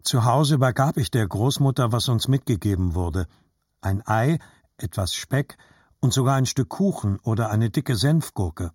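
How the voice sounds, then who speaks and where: A man narrating a scripted literary story in a measured, reflective manner. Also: mid-range voice, expressive, darkish tone, slightly rough, no echo, clean studio sound.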